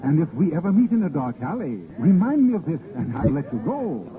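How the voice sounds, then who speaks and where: An actor playing a swaggering, romantic French vagabond poet and thief in flowery, declamatory 1930s radio style. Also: male voice, on the high side, big pitch swings, brisk, background noise. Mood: bold, roguish. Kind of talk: 1930s theatrical radio acting with sung verse. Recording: tinny, band-limited 1930s radio recording, music.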